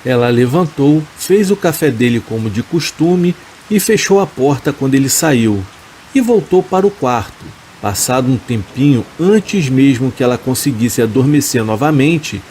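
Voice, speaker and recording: A man reading a first-person horror story aloud in a slow, calm, measured way, as a storytelling narrator. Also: medium pitch, slight background noise. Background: rain sounds.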